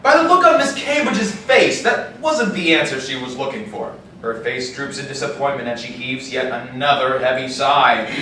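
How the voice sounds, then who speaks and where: A male storyteller narrating a scripted tale with a lively, fairly high voice. His pitch moves around a lot. He is recorded from a distance in a very echoey room with faint noise. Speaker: narrator of a live audio drama table read. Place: large indoor panel room at a convention.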